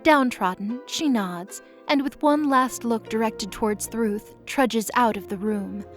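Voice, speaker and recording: Woman narrating, slightly high voice. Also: unhurried, faint music behind. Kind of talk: scripted story narration.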